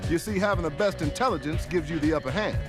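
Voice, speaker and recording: A man voicing a brisk, dry, commanding spy agency director in a video game cutscene. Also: on the high side, lightly acted. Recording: music behind the voice.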